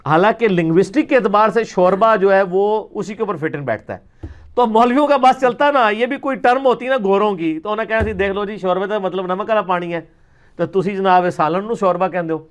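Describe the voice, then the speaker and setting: A man's voice: high-pitched, clear, resonant tone. A man with a Pakistani Punjabi accent giving an informal religious lecture, arguing in colloquial language with rhetorical questions and some English words mixed in.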